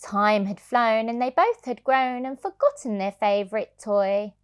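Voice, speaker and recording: A woman reading a children's storybook aloud as a childminder, in an expressive, sing-song way. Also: a little high, a little slow, clear, resonant tone.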